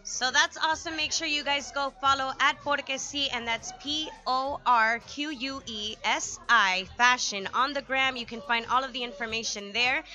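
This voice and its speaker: A woman's voice: high voice, moderate pace, few pauses, bright, forceful tone, lo-fi sound, soft background music. A young woman from Venezuela hosting a live remote radio broadcast from a lounge, upbeat as she thanks sponsors and listeners into a desk mic. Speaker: radio show host. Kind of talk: casual radio talk with sign-offs. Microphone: desk microphone on stand.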